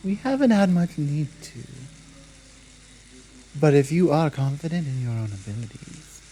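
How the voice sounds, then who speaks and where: Woman voicing a low, commanding female werewolf pack leader. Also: animated, mellow, a little hiss or hum, low-quality recording. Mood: calm, firm. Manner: measured, deliberate, firm. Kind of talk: ASMR roleplay.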